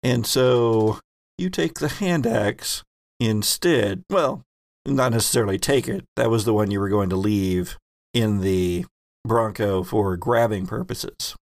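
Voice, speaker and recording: A man running a tabletop role-playing game as game master, asking the players questions in a relaxed, joking way. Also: lowish voice, measured pace, creaky, no echo.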